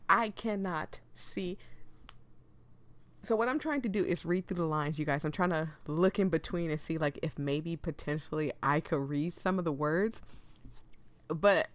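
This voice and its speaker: A woman's voice: low-pitched, measured pace, no room sound. A woman hosting a casual let's play, reading game text aloud and chatting apologetically to viewers as she breaks off her own sentences. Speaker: gaming video host.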